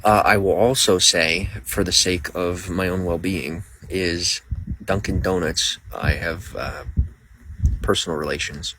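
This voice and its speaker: A man's voice: sits low, fairly even intonation, unhurried, noisy background. A young man streaming live, rambling casually while greeting viewers by name and reading out their comments. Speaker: livestreamer.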